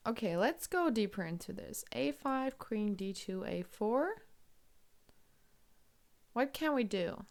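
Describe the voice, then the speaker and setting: A woman's voice: a little high, lively intonation, slow, vocal fry, long pauses. A woman from Germany with a German accent, commentating chess on a live stream, thinking aloud and answering chat questions.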